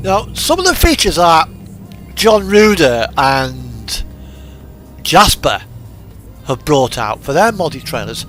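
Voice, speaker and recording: A British man streams a driving game in a fairly high voice with animated swings in pitch. He muses in a loose, unscripted, chatty way. Faint music plays behind him. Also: takes it slowly. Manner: rambling, musing, unscripted. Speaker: streamer playing a truck driving simulator. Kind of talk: casual chat while gaming. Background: radio music and truck game engine sound.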